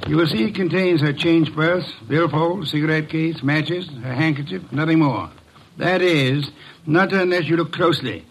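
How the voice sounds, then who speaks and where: An actor playing an imperious, eccentric older detective in a vintage radio mystery, speaking formally and deliberately with pompous weight. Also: male voice, a little high, a little hiss or hum, close to an everyday voice, poor audio. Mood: solemn, expository. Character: imperious, eccentric genius male private detective. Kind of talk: 1950s American radio mystery acting. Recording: vintage radio drama recording.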